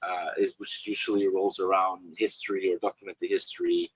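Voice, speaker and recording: A man's voice: deep voice, level tone, moderate pace, slightly rough, dry recording, poor audio. A man from Guatemala, an author and illustrator, speaking on a panel in a hesitant way full of fillers and restarts. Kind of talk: casual panel discussion.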